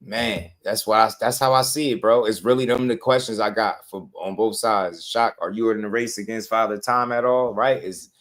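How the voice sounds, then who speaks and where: Animated, slangy boxing show host man. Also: fairly low pitch, normal speed, few pauses. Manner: animated, laughing, slangy trash talk. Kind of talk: casual boxing talk.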